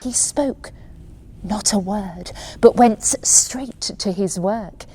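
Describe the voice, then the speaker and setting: A woman reciting a rhyming bedtime story in an expressive, pantomime style. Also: mid-range voice, slow pace, breathy edge. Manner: expressive, dramatic. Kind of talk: theatrical pantomime bedtime-story reading of verse.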